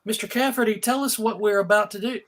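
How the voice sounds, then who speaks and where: A man's voice: high-pitched, fairly even intonation, quick pace, a bit bright. A man from Alabama hosting a casual fan panel, chatting in an exclamatory, joking way. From Alabama, United States. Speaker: host of a fan convention panel. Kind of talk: casual animated panel chat.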